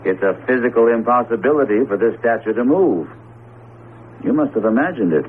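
An American actor playing a genial, skeptical, middle-aged psychology professor with a wry, breezy manner in a radio drama. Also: male voice, mid-range voice, expressive, a little fast, lightly acted. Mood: reasoning. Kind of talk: old-style radio drama acting. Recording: muffled, noisy 1970s radio broadcast.

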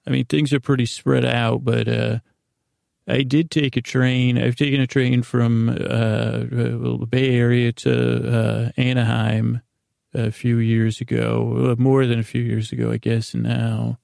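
An American man with a gravelly, creaky voice hosting a bedtime podcast, rambling in a halting, meandering way with drawn-out pauses. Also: mid-range voice, flat delivery, darkish tone, no room sound, studio quality. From United States. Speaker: podcast host.

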